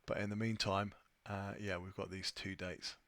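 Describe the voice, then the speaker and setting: A man presenting an unscripted software tutorial, thinking aloud with a hesitant delivery as he walks through his screen. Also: deep voice, little pitch movement, moderate pace, no echo. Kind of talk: unscripted screen-share walkthrough.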